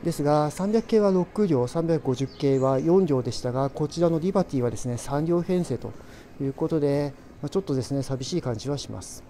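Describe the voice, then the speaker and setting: Man narrating casually over clear train noise. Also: a little high, expressive, a little fast, dark tone. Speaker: railway travel vlogger. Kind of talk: casual travel vlog narration.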